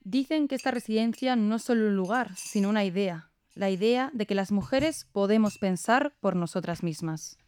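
A woman playing a reflective, idealistic philosopher in an audio drama, speaking in a measured, contemplative way. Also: medium pitch, a little mellow, smooth, close to an everyday voice. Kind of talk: scripted audio drama dialogue.

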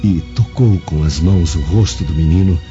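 A man's voice: sits low, normal speed, dark tone, muffled recording, faint music behind, natural voice. An actor playing an earnest, awed Roman nobleman in a radio drama, telling his account solemnly and respectfully. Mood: reverent. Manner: solemn, deferential storytelling. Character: earnest, awed Roman senator, humble and reflective.

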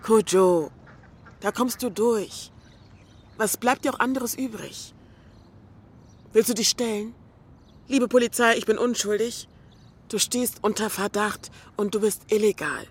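A woman's voice: medium pitch, measured pace, long pauses, husky. An actress playing a caring, frank young woman in a radio drama, coaxing and matter-of-fact with her friend. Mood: frank, reassuring.

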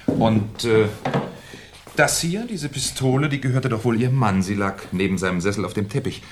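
A deep-voiced, shrewd police commissioner , played by a male actor. He is courteous and musing as he probes, in the style of a 1970s radio crime drama. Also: expressive, normal speed, slight background noise, lightly acted. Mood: courteous, probing. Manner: polite, musing, quietly probing.

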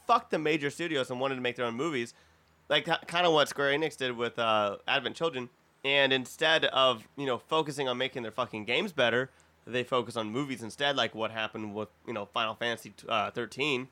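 A man's voice: medium pitch, fairly quick, sharp tone. A man co-hosting a casual panel discussion, talking in an opinionated way and swearing casually. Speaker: podcast co-host.

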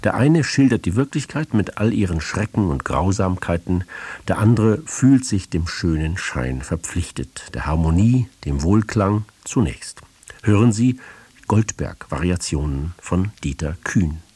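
A male radio presenter with a very low, husky voice reads a formal introduction to an audio play. His measured, literary delivery moves through a lively range of pitch, in a dry studio sound. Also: no room sound. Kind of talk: scripted broadcast introduction.

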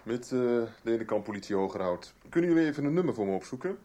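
An actor playing a brisk, bookish amateur sleuth in a 1990s Dutch radio soap. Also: male voice, mid-range voice, expressive, dark tone, lightly acted. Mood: brisk, businesslike.

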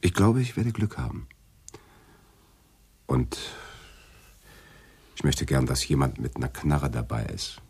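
An actor playing a slick, bitter gambler and cocky schemer in a hard-boiled radio drama, with a casual, insinuating manner. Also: male voice, extremely low pitch, sing-song, slow, pauses often, a little mellow, breathy edge, heavily acted. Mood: scheming, bitter.

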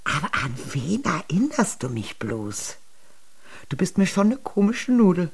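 Casting: melancholy scheming queen for a radio play, lowish voice, theatrical and very animated. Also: unhurried, husky, heavily acted. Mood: confiding. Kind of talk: absurdist radio drama acting.

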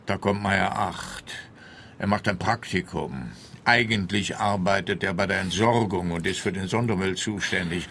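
An actor playing an officious, exasperated police officer in a radio comedy, with a pompous, lecturing manner. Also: male voice, sits low, normal speed, vocal fry, fairly bright tone, a little hiss or hum.

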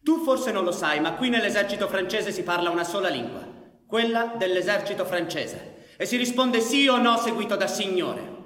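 A man's voice: high voice, normal speed, fairly bright tone. A man playing a stern, sarcastic French army officer in a radio drama, barking clipped orders. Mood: stern, mocking. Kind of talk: stage-style radio drama acting. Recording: echoey hall reverb.

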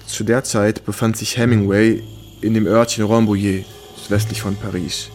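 A man plays a war correspondent narrating his memories in a radio drama. His voice is on the low side, measured and reflective, with clear music behind it. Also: level tone, darkish tone, close to an everyday voice. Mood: measured, recounting. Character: younger American male war correspondent recounting his memories. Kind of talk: first-person radio play narration.

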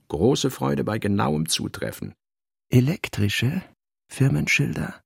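A man reads literary prose for a radio production in a lowish voice with a lot of gravel in it. The sound is dry and close. His phrasing is clipped, like notes read aloud. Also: no echo. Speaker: literary reader. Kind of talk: dramatic literary reading in a radio play.

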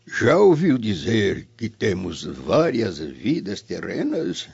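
Old brazilian dad near death, creaky slow solemn speech, pitch swells and drops. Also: male voice, wildly swooping pitch, a little mellow, heavily acted. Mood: solemn, consoling. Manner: grave, declamatory. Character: dying old Roman father, solemn, philosophical, tender. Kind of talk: dramatic radio play acting. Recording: dull lo-fi radio drama, music behind.

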